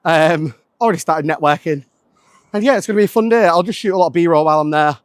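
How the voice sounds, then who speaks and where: A man's voice: on the high side, fast, clean tone, no echo, studio quality. A man from Manchester, a business founder chatting casually to camera in a rambling way, full of "um" and "like". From Manchester, England. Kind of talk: casual vlog chat.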